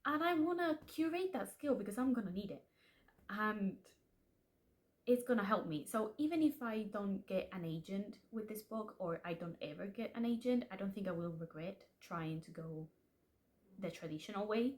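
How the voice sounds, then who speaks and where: A woman's voice: medium pitch, expressive, moderate pace. A young woman whose first language is Spanish chats openly to her camera about her writing hopes. She talks in a loose, self-deprecating way, restarting phrases as she goes. Her voice sits in an ordinary middle range. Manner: chatty, self-deprecating, restarts and laughs often. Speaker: aspiring author and channel host. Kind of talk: casual confessional vlog.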